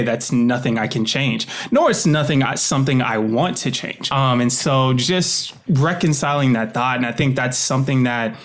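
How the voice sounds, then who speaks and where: A man speaking in an informal, reflective way as a podcast guest and producer, in a conversational style. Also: mid-range voice. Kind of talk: conversational interview and listener address.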